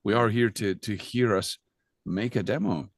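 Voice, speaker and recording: A man's voice: lowish voice, normal speed, a crowd in the background. A man chatting and explaining casually on a video call, in a hesitant, stop-and-start way. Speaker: co-host.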